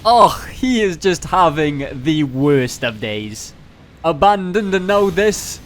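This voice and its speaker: Higher-voiced man, mock-grandiose gaming narrator. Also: lively intonation, takes it slowly, a little hiss or hum. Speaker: gaming video creator narrating a challenge run. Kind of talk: scripted gameplay commentary with jokey asides.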